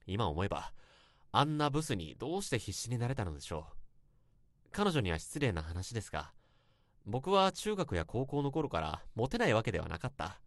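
An actor playing an earnest young office worker who narrates a past infatuation in a radio drama, with polite, literary phrasing. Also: male voice, medium pitch, big pitch swings, normal speed, dry recording, studio quality. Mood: earnest, confessional. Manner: polite, formal, literary storytelling.

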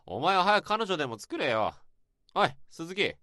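A gruff, bossy senior coworker. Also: male voice, sits high, big pitch swings, moderate pace, pushed and bright, no room sound, studio quality, exaggerated voice. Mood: gruff teasing. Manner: rough, bossy, lecturing speech. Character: gruff blunt senior office worker man. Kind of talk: radio drama acting.

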